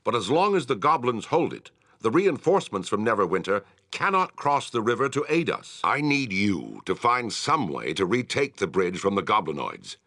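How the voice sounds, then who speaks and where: Deep, forceful fantasy war leader. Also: male voice, very animated, no echo, studio quality, exaggerated voice. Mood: grave, urgent. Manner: measured, formal, authoritative delivery. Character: grave, commanding male town war leader in fantasy. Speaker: quest-giving military commander. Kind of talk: video game character acting, formal dialogue.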